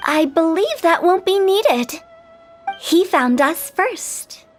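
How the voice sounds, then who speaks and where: Game heroine: high, cheeky, teasing funeral director, light riddling manner. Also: female voice, lively intonation, takes it slowly, faint music behind, heavily acted. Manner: teasing, breezy, fond of riddles. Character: mischievous young woman funeral director, playful prankster.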